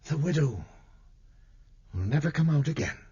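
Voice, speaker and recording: Man voicing a small, high-pitched heroic mongoose. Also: expressive, normal speed, dark tone, slightly rough, muffled recording. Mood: weary, resolute.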